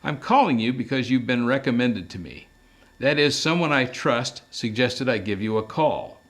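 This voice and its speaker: A man's voice: medium pitch, animated, moderate pace, a bit bright, natural voice. A man voicing a priggish, anxious male English professor in a detective audiobook, speaking formally and wordily. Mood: formal, fussy. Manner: formal, wordy, fussy.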